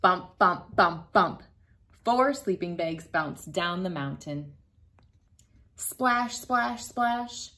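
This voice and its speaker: A woman in her thirties reading a picture book aloud to children, gentle and playful, laughing now and then, recorded on a phone camera indoors. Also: fairly low pitch, expressive, drawn-out words. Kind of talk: read-aloud storytime for kids. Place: bright room indoors.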